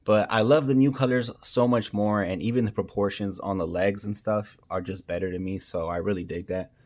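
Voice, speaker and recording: A man's voice: on the low side, steady tone, brisk. A man hosting a casual toy review, speaking informally and enthusiastically with plenty of slang.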